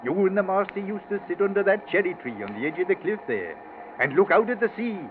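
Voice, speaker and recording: An actor playing a devoted, deferential old Yorkshire gardener and manservant with rustic, hesitant speech in a 1940s radio drama. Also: male voice, high voice, animated, fast talker. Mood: kindly, attentive. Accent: Yorkshire English. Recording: scratchy, narrow-band 1940s radio recording.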